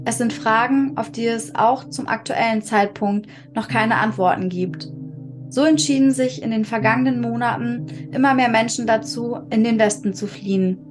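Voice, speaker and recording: A woman with a fairly high voice hosts a podcast interview. She reads her introduction smoothly, then asks patient follow-up questions. She often sums up what her guest has just said. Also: normal speed, over music. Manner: gently prompting and rephrasing the guest's answers. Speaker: podcast host. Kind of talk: interviewing and scripted narration.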